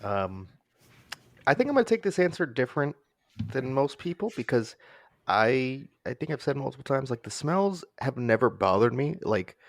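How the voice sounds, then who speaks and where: Lively, animated man co-hosting a podcast. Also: mid-range voice, normal speed, no echo, studio quality. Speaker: podcast co-host. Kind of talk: casual podcast chat.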